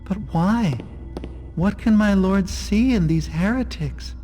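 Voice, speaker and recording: An actor playing a troubled but hopeful devout follower in a video game, earnest and questioning. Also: male voice, sits high, slow, dark tone. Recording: long echoing hall, music behind.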